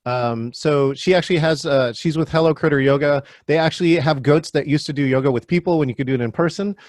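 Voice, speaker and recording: A man's voice: on the high side, quick pace, darkish tone, no room sound, studio quality. A man hosting an online workshop on a video call, calling on attendees by name in a friendly way. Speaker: virtual meeting trainer.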